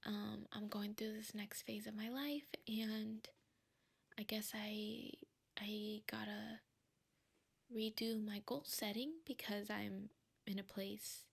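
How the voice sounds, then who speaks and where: A young woman whispering softly in a slow, rambling way, chatting ASMR-style at home. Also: mid-range voice, flat delivery, creaky, husky. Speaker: vlogger. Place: quiet home, indoors.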